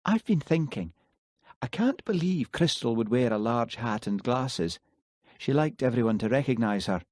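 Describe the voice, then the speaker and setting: A guarded young Highland woman, quiet and evasive, her voice lifted well above a man's usual pitch, as a male narrator puts her on. The reading is dry and close, with no room sound. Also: expressive, moderate pace, dry recording, close to an everyday voice.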